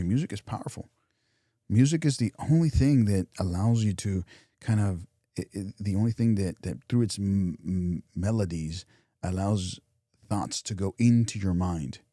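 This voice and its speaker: A man co-hosting a podcast, talking casually and reflectively, often restarting phrases and dropping softer for imagined inner thoughts. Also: sits low, no room sound, studio quality. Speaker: podcast co-host. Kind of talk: casual motivational chat.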